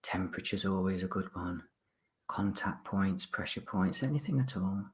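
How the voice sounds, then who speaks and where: Low-voiced man leading a guided meditation. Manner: instructional, polite, pausing between short phrases. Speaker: mindfulness and relaxation instructor. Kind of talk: guided body scan meditation.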